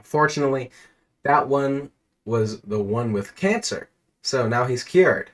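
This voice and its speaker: A man reading a silly, absurd story aloud in a deadpan narrating voice, now and then cracking up. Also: mid-range voice, measured pace. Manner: deadpan narration, breaking into laughter.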